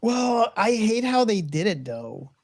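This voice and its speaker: A man co-hosting a casual film discussion, reasoning aloud in a deliberate way. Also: sits high, very animated. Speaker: podcast co-host.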